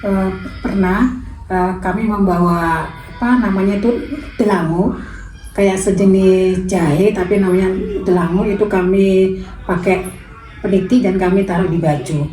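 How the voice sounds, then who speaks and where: Mellow-voiced woman in her fifties, hesitant. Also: mid-range voice, fairly even intonation, unhurried, roomy sound, slight background noise, rough recording. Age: 50s. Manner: hesitant, with many fillers. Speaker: interviewed mother. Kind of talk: casual interview answers.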